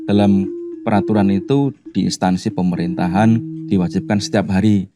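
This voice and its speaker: A man with a Javanese Indonesian accent speaking as a radio guest. He explains his points slowly and thoughtfully, with many hesitant filler pauses. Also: deep voice, over music. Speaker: guest speaker on language and culture. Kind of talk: casual interview chat. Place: radio studio.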